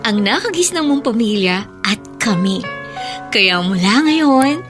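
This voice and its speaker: An actress in a Filipino radio drama playing a kind, forgiving schoolgirl older sister, gentle and reassuring. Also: a little high, expressive, a little slow, soft background music.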